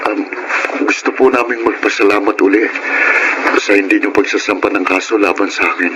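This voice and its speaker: An actor playing a polite, contrite and hopeful young son in a Filipino radio drama. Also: male voice, fairly low pitch, fairly even intonation, a little fast, heavy background noise, lightly acted.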